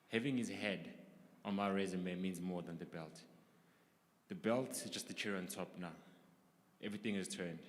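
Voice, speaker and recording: A man answering questions as a fighter at a press conference, upbeat and eager. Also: fairly low pitch. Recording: long hall reverb.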